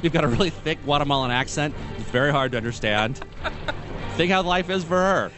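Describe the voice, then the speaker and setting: A man co-hosting a radio show, reading odd news stories and adding dry, deadpan asides. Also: fairly high pitch, a little fast, over loud music, a loud crowd. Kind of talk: reading news items with banter.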